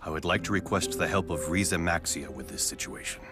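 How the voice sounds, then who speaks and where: A suave game CEO acted by a man with a very low voice. He is genial and formal on the surface, calculating underneath. Music plays behind him. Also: brisk, lightly acted. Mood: measured, businesslike. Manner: polite, smooth, formal. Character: suave, genial, calculating male corporate chief executive. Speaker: company CEO. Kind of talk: English video game voice acting.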